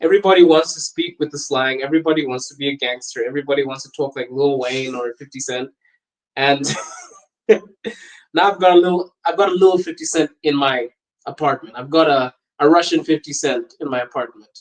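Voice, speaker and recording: Chatty young man, slightly high voice. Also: a little fast, low-quality recording. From Botswana. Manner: animated, laughing often, wandering and talkative. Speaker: podcast host on a livestream. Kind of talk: casual livestream chat reading viewer comments.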